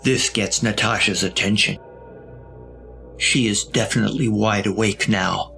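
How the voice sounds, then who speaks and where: A man's voice: fairly low pitch, fairly even intonation, vocal fry, pushed and bright. A man delivering scripted dramatic narration for an audio drama in a wry, suspenseful manner. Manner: wry, suspenseful, drawn-out phrasing. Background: music.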